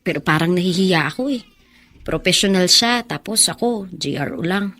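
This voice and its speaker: An actress with a Filipino accent playing a poor, orphaned young bar hostess in a radio drama, wistful and soft-hearted. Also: female voice, on the low side, animated, moderate pace. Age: young adult.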